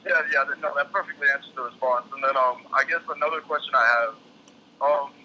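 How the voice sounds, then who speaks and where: A young man with a very bright, sharp voice speaks on a faintly noisy phone call. As a job applicant he sounds casual and deferential, feeling his way through questions and rephrasing as he goes. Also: mid-range voice, fast talker. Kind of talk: casual phone interview talk.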